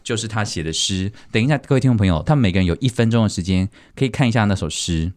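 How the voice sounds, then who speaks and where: A man's voice: fairly low pitch, lively intonation, rapid-fire, darkish tone. A man hosting a podcast in a casual, playful, teasing way, chatting to guests and listeners. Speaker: podcast host.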